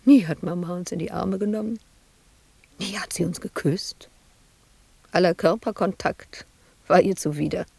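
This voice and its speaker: An actress playing an embittered, lonely middle-aged woman sculptor in a radio drama monologue, husky and intimate, often slipping into a whisper. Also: lowish voice, moderate pace, long pauses, dark tone, no room sound. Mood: bitter recollection. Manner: brooding, intimate, shifting between whisper and outburst.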